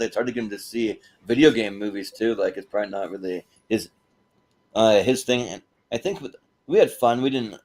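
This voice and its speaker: A man hosting a casual panel chat in a rambling, halting way, often repeating words. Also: on the low side, darkish tone. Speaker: host of a panel show.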